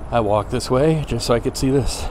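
A man casually narrating as he walks through city streets, pointing out sights in a relaxed, chatty way. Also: mid-range voice, a little fast. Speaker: vlogger on a city walk. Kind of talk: casual walking tour commentary. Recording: outdoor street noise on camera mic. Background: traffic and city noise.

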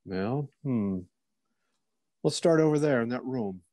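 A man playing a mellow, inquisitive policeman. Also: medium pitch, lively intonation, measured pace, dry recording, natural voice. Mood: businesslike. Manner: polite, musing, probing. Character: courteous, inquisitive male police officer. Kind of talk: old-time radio theater acting.

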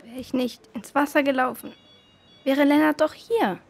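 Sad, searching young girl for children's radio play, high-pitched. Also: normal speed, creaky. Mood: grieving. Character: sad, questioning young girl recovering in bed.

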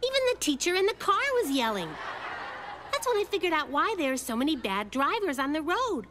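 A woman's voice: sits high, very animated, a little fast, noisy background. A woman playing a sensible, outspoken little girl in a children's cartoon. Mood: wry storytelling. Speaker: cartoon character.

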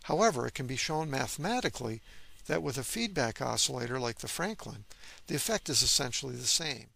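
A man giving scripted technical narration as an instructor, in a measured, didactic manner. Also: medium pitch, animated, creaky, bright, forceful tone, breathy edge, no room sound. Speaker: electronics instructor.

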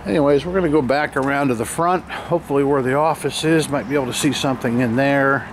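A man's voice: medium pitch, fast. A man giving casual, off-the-cuff walking narration in a musing, observational way, as a vlogger behind a handheld camera. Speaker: vlogger filming an exploration of an abandoned motel. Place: outdoors, walking around a derelict motel lot. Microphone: handheld camera microphone, operator behind camera. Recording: noisy outdoor handheld camera, ambient roar.

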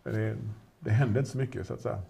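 A man's voice: medium pitch, fairly even intonation, creaky, dark tone, husky. A man casually chatting as an auction presenter, rambling with many restarts and fillers. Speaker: auction specialist presenting a car. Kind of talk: casual chat.

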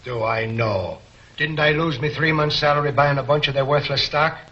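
A man's voice: a little high, moderate pace, pushed and bright. An actor playing a blustering, comic older Irishman in a 1940s serial. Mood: excitable. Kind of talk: 1940s film serial acting. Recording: dull 1940s film soundtrack.

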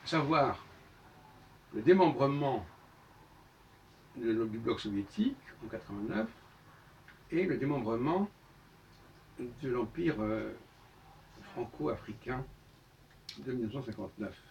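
An older man talks at length to a camera set back across a room full of books, so his voice sounds somewhat distant. His pitch sits in the middle range. He explains his points slowly and patiently, stopping often to restart a phrase. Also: lively intonation, gravelly, lo-fi sound, slight background noise. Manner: halting, explanatory, many pauses and restarts. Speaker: astrologer giving a talk. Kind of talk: solo lecture to camera. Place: book-lined room indoors. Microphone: far camera on a tripod.

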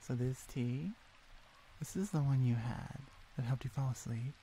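A woman voicing a dominant but caring female orc in an improvised ASMR roleplay, speaking softly, intimately and teasingly to the listener. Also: extremely low pitch, unhurried, a little hiss or hum, voice pushed down. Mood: matter-of-fact, caring.

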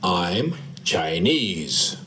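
A man with a Brazilian Portuguese accent teaching English, modelling short answers slowly and repeating them for practice. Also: low voice, very animated, noisy background. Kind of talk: slow drill practice lesson.

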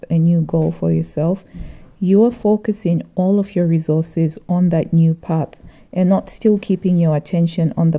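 Woman with a very dark, mellow low voice. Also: vocal fry. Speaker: vlogger. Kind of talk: reflective vlog voice-over narration.

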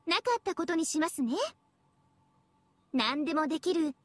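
A woman's voice: high-pitched, moderate pace, a bit bright, dry recording. A woman voicing a smug, teasing, villainous young schoolgirl in a Japanese mobile game, with a cutesy, theatrical manner. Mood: menacing, playful.

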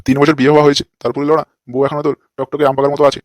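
A man's voice: on the high side, little pitch movement, racing, no room sound, natural voice. A man voicing a crude, lecherous fake holy man in a comic cartoon, with a brash, scolding manner. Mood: mocking.

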